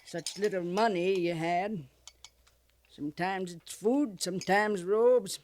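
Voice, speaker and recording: A woman's voice: mid-range voice, slow pace, darkish tone, a little hiss or hum, natural voice. A woman playing a sly, grasping old frontier trader widow with a folksy rural Western American drawl in a 1950s radio western. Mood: crusty, sly. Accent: American rural Western dialect. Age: older. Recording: vintage 1950s radio recording.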